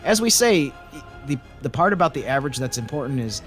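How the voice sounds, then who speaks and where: A man's voice: medium pitch, sing-song, brisk, a bit bright. Man chatting sports over faint music. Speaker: podcast co-host and sports writer. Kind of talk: casual sports chat.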